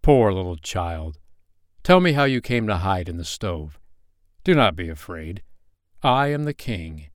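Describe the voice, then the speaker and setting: A man voicing a kind, gentle young king, speaking in a measured, reassuring way in a children's bedtime story. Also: on the low side, expressive, no room sound, clean studio sound, lightly acted. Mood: kindly. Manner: kindly, measured.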